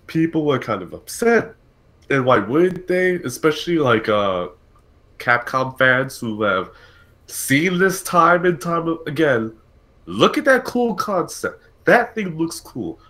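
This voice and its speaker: A man's voice: a little high, sing-song, slow, pushed and bright. A man hosting a casual gaming talk show, thinking aloud and correcting himself in a conversational way. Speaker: podcast host.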